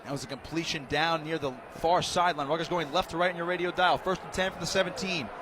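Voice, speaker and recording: An American man doing live radio play-by-play of a football game in excitable, clipped running descriptions. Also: a little high, quick pace. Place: press box high above a stadium. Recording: loud stadium noise, outdoor broadcast.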